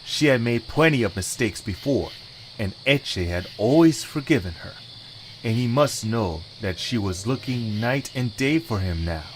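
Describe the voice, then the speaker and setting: A man's voice: mid-range voice, expressive, measured pace, a little hiss or hum, rough recording. A man reading scripted story narration for an audio drama.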